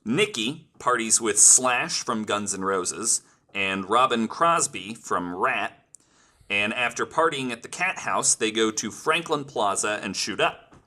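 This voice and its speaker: A man's voice: lowish voice, expressive, a little slow, pushed and bright. A man hosting a podcast, reading out researched stories with casual asides and plenty of "like". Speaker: podcast host telling the story.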